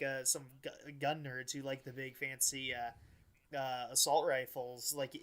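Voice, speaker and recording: A man's voice: medium pitch, bright, forceful tone. A man chatting casually as a podcast co-host, rambling in stops and starts with plenty of filler words. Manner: rambling, filler-heavy, stop-and-start delivery.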